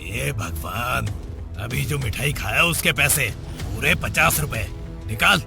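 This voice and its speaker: Gruff cartoon shopkeeper male actor, brusque and menacing, mid-pitched with a fried edge. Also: lively intonation, slow pace, music in the background. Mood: angry. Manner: brusque, scolding. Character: gruff, threatening sweet-shop owner. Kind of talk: children's cartoon story acting.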